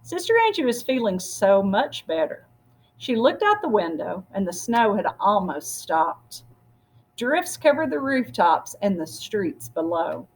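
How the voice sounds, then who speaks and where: A woman with a husky voice reading a storybook aloud expressively, as if to children. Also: medium pitch, a little slow, poor audio. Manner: expressive, acts out dialogue. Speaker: story reader.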